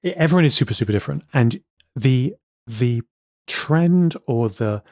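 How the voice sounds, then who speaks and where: A man co-hosting a podcast, explaining things casually in quick bursts. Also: mid-range voice, animated, a little mellow, no room sound, studio quality. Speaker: co-host.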